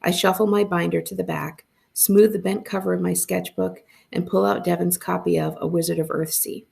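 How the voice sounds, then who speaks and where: A woman reading a first-person story aloud as a librarian would, with expressive narration. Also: lowish voice, normal speed, gravelly.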